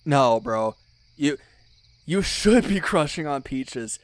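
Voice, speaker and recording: A man's voice: on the high side, animated, takes it slowly, no room sound. A young man playing a teasing, loyal best friend in a one-sided casual roleplay, chatty and slangy with little hesitations. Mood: animated, incredulous.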